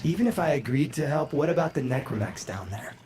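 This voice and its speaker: A man's voice: on the high side, expressive, quick pace, over music, lightly acted. A man playing a reluctant, needling young son in a video game cutscene. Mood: sardonic. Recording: loud ambient noise behind the voice.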